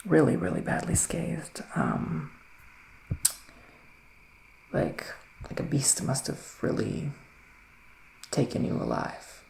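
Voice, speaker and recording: A man speaking in a hushed, breathy ASMR style that often slips into a whisper, soothing and reassuring as he plays a psychic witch giving a reading. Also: medium pitch, huge pitch swings, slow, long pauses. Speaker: ASMR performer playing a psychic reader. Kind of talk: ASMR roleplay, improvised tarot reading. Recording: roomy echo, faint background noise.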